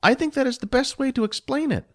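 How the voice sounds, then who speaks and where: A man's voice: extremely high pitch, quick pace, a bit bright, pitched above the performer's own voice. A man voicing a timid, fearful little female goblin servant who pleads in formal, old-fashioned speech. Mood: anxious. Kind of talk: audiobook character voice.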